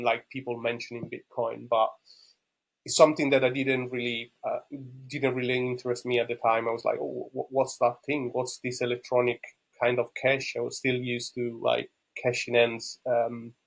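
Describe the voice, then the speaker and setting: A man in his thirties from Rome with an Italian accent, answering as a podcast guest in halting, thoughtful non-native English. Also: mid-range voice. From Rome, Italy. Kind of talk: conversational interview answers about own life.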